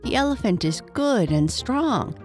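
A nodding toy donkey out of a children's storybook, put on by a woman reader. The voice brays a little above a normal speaking pitch. It comes across as blunt yet reassuring. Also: big pitch swings, unhurried, a little mellow, faint music behind. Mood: matter-of-fact, reassuring. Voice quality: braying.

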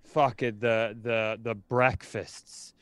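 A man's voice: lowish voice, fairly even intonation, slow pace, smooth, no room sound. An American man bantering casually as a comedy podcast host, in a sardonic and exasperated manner.

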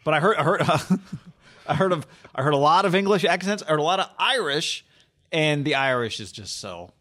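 A man's voice: fairly high pitch, lively intonation, moderate pace, pushed and bright. Chatty man on a sports podcast. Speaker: podcast co-host. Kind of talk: casual sports chat.

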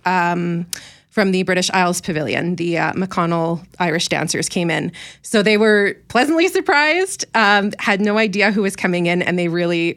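A woman's voice: on the low side, a bit bright. A young adult woman, a teacher, earnestly explaining things at length as a guest on a radio show. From Ukrainian community in Winnipeg. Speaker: festival ambassador and teacher.

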